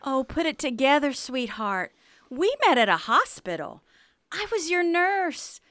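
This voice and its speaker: Woman as sweet Southern ghost girlfriend, bright. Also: high-pitched, sing-song, a little slow, dry recording, studio quality, full character voice. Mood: apologetic, sweet. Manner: coaxing, apologetic. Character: sweet, apologetic young Southern nurse girlfriend, secretly dead. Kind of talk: comic radio theater acting.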